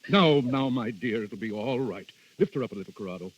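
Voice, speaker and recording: A man's voice: mid-range voice, expressive, a little fast, slightly rough. An actor playing a fatherly older duke with an authoritative, theatrical manner in a 1930s radio drama. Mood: fatherly, reassuring. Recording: dull 1930s radio recording.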